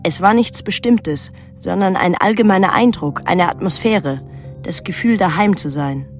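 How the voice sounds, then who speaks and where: An actress playing a reflective daughter who narrates a literary radio drama in a measured, introspective way. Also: female voice, fairly low pitch, soft background music, close to an everyday voice. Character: reflective daughter recalling her reclusive painter mother.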